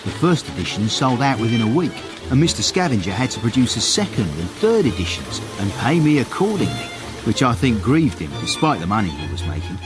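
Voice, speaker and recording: Lively, wry English footman, played by a man. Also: medium pitch, brisk, over music, close to an everyday voice. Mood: pleased, wry. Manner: wry, mannered, deferential. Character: wry, nervous young English footman and secret author. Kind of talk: first-person narration and acting in radio comedy-drama.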